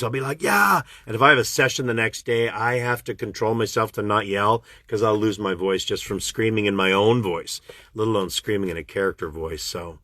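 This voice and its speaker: A man's voice: dry recording, clean studio sound. A man from Canada, a voice actor, chatting casually with fans on a livestream and answering their questions. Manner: chatty and animated, jumps up in pitch when excited. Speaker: voice actor hosting a fan livestream. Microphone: phone livestream.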